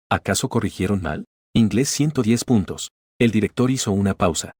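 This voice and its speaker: A man narrating a comic story in a flat, even read that treats quoted lines the same as the narration. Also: on the low side, breathy edge, no echo, clean studio sound.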